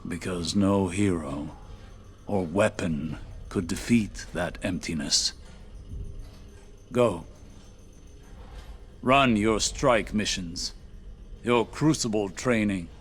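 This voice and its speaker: An actor playing a grave, proud prophet of doom in a video game, speaking formally, deliberately and ominously. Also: male voice, low voice. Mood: grave, foreboding. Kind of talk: video game story dialogue.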